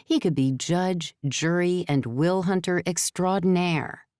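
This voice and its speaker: A woman's voice: on the low side, measured pace, darkish tone, no room sound, clean studio sound. A woman reading scripted story narration as an audiobook narrator.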